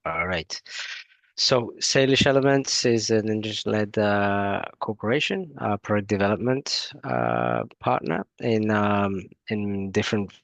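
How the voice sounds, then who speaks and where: Male presenter, British accent with a twang, low voice, dry close sound, halting delivery. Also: gravelly, fairly bright tone, dry recording. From half French, half British. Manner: hesitant, many fillers and restarts. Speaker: guest presenter, energy company head. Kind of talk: webinar presentation.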